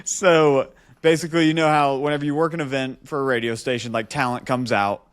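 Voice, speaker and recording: A man's voice: medium pitch, fairly even intonation, fairly quick, smooth, no echo. A man co-hosting a podcast, telling an anecdote casually and animatedly, repeating phrases for emphasis. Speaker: podcast co-host.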